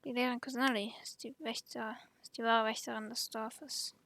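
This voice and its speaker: A woman's voice: fairly high pitch, flat delivery, brisk, vocal fry, a bit bright, dry recording. A woman doing casual game playthrough commentary, reading out dialogue choices into a close microphone.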